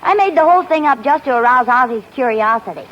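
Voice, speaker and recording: A woman's voice: sits high, animated, fast. An actress playing a clever wife who teases her husband with patient, wry replies in a 1940s radio sitcom. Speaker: sitcom lead. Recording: muffled 1940s radio broadcast with hiss.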